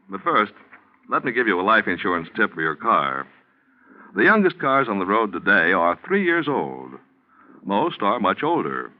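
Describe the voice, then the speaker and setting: An American man announcing on 1940s radio, reading scripted sponsor copy and credits in a polished, emphatic way. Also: fairly low pitch. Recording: muffled 1940s radio recording, faint music.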